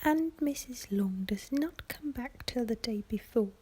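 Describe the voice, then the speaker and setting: A woman voicing a fretful middle-aged wife and mother in a breathy, whispered ASMR storybook reading, querulous and dramatic. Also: fairly high pitch, animated, a little slow, warm tone, pitched above the performer's own voice. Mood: agitated, exasperated.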